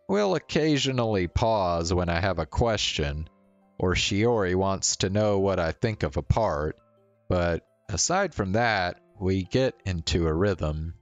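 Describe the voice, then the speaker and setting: A man's voice: deep voice, no echo. A man streaming a visual novel, reading aloud and chatting in a casual way. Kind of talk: narrated read-aloud and casual sign-off.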